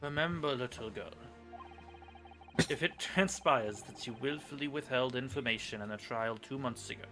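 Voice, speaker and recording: A man's voice: mid-range voice, normal speed, over music, natural voice. A man voicing a haughty, sardonic prosecutor in a Victorian courtroom, formal and condescending, in a video game fan dub. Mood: menacing, scornful.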